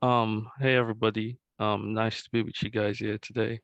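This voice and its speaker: Laid-back low-voiced man from Nigeria. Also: normal speed, no echo, lo-fi sound. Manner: relaxed, many fillers like um and like. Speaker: current online graduate student. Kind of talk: webinar answers.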